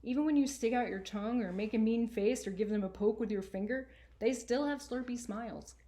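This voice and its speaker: A woman voicing a sarcastic, earnest boy narrator in an expressive, colloquial first-person storybook reading. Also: on the high side, a little fast, pitched above the performer's own voice, close to an everyday voice. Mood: rueful. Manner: colloquial, exasperated, emphatic.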